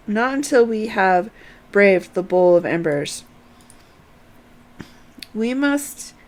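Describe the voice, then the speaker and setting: Woman streaming a game, reads the text out slowly, normal pitch, chatty little comments. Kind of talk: reading game dialogue aloud, casual commentary.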